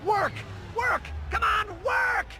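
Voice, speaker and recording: A man's voice: very high voice, slow, shouting, over loud music. An actor playing a vain, bitter, theatrical male villain in a video game, with grandiose announcer-like delivery. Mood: enraged, petulant.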